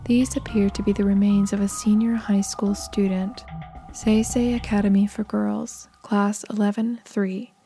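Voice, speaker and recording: A woman reading scripted narration for a horror game in a measured, sombre, matter-of-fact manner. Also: mid-range voice, flat delivery, mellow, soft background music.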